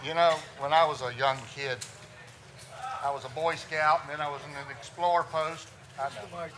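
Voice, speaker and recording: A middle-aged man with a loud, resonant voice, giving a humorous talk as a funeral director. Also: on the high side, fairly even intonation, normal speed, background noise. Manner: loud, folksy storyteller with self-deprecating jokes. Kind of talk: humorous presentation to a lawyers' audience.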